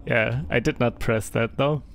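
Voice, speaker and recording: A man's voice: mid-range voice, steady tone, unhurried. A young man with a German accent chatting casually through a live game stream, reading and answering viewer chat with frequent hesitations.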